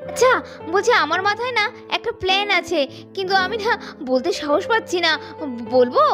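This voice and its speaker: A woman's voice: very high-pitched, very animated, a little fast, music in the background. A woman voicing emotional, emphatic young women in a melodramatic doll cartoon. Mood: anxious.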